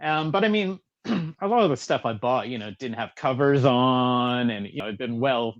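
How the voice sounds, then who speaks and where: A man's voice: mid-range voice, animated, normal speed. A Canadian man, an animator and author, answering interview questions in an easygoing, modest way with many uh fillers. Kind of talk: casual interview talk. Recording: slightly dull, lo-fi sound.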